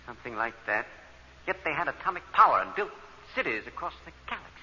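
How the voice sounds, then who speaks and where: An actor playing an eager, obsessive scientist on a spaceship crew in an old-time radio drama, thinking aloud in halting, breathless bursts. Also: male voice, on the high side, sing-song, bright, forceful tone, exaggerated voice. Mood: awed, absorbed. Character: excitable, obsessive male space-crew scientist, full of wonder. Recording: noisy, muffled old radio recording.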